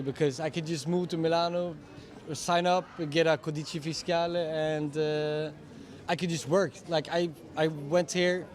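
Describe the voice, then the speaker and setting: A man from Sweden answering a street interview casually, halting and often saying "like". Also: a little high.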